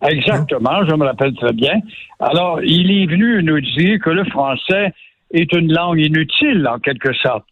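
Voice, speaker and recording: A man's voice: fairly high pitch, lively intonation, normal speed, no room sound. A man with a Quebec French accent giving sarcastic, indignant commentary on a radio show. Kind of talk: opinionated radio chat. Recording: phone-line radio audio.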